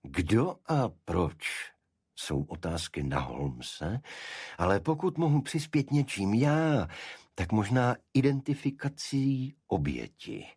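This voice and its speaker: A man's voice: on the low side, sing-song, a little mellow, breathy edge, no room sound, clean studio sound, voice pushed up, full character voice. A man voicing a reserved military doctor in an audiobook, speaking formally, precisely and with delicate care. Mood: careful, helpful. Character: reserved military doctor, precise, discreet, slightly embarrassed gentleman. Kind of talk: audiobook character dialogue.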